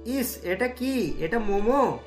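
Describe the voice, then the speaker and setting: A woman's voice: a little high, expressive, takes it slowly, voice pushed down. A woman voicing a young married man in a cartoon, a doting but easily indignant husband who talks emphatically. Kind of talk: cartoon story dialogue. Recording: music under the voice.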